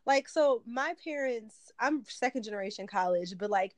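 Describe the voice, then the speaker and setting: A woman's voice: fairly high pitch, animated, moderate pace, dry recording. A woman in her thirties from Detroit, hosting her own interview show in a chatty, upbeat way. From Detroit, USA. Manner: chatty, upbeat, light laughs. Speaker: podcast host. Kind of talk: casual interview chat.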